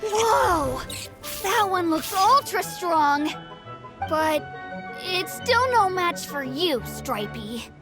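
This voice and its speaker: A woman voicing an earnest, worried young boy in a video game, speaking in a slow, pleading way. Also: very high-pitched, sing-song, exaggerated voice. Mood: excited. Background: game music.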